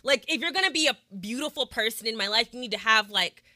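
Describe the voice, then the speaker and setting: A young woman from Texas hosts a laid-back podcast conversation, talking frankly and chattily with plenty of fillers. Her voice is very bright and edged, sitting a little high, and the sound is close and dry. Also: animated, fast, dry recording. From Texas, USA. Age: young adult. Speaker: podcast host. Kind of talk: casual podcast chat.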